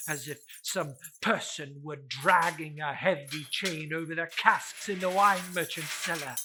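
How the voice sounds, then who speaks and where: A man's voice: high-pitched, sharp tone, a little hiss or hum. A man giving a theatrical, suspenseful reading of a Victorian ghost story, drawing out words and swelling with emphasis. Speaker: storyteller reciting a classic novel.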